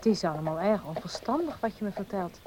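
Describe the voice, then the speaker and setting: Actress as a lively, refined young lady. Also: medium pitch, fast talker, background noise, lightly acted. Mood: sensible, reproving. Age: young adult. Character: refined, sensible young lady of the house, gently hesitant. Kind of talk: radio drama acting.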